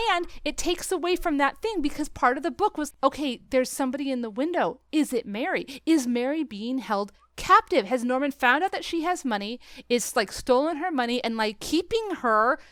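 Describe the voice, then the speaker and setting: A woman's voice: high voice, animated, moderate pace, few pauses, a bit bright, no room sound, clean studio sound. A woman chatting casually and opinionatedly about film, emphatic and exasperated, as a podcast co-host. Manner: emphatic, exasperated ranting with acted-out quotes.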